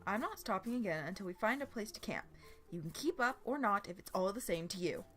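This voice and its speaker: A woman voicing a prim, stuffy young man who gets flustered, in an animated story reading. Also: mid-range voice, fairly quick, soft background music, natural voice. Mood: flustered, indignant. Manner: pompous, stammering when flustered.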